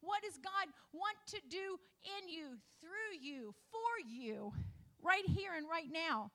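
A woman pastor preaching a sermon in a repetitive, rising cadence that builds toward shouts. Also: very high voice, lively intonation, slow pace.

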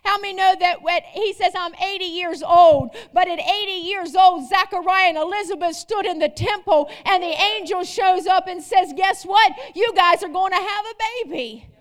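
A woman with a very high voice delivers a sermon to her congregation. She is emphatic, repeats her phrases and keeps asking the room rhetorical questions. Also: normal speed, hardly any pauses. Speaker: preacher.

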